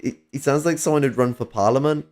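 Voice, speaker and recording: A man's voice: mid-range voice, fast, darkish tone. A man with a Northern English accent chatting casually about politics on a stream, rambling, swearing a lot and restarting his sentences, with a slightly whiny voice. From Northern England. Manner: rambling, swears often, many fillers and restarts.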